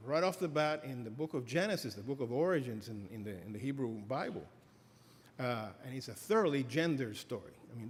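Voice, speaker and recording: A middle-aged man giving an academic lecture as a professor, halting with many fillers and restarts. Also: mid-range voice, a little hiss or hum. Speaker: professor giving a keynote address. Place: lecture hall. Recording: reverberant hall, faint ambience.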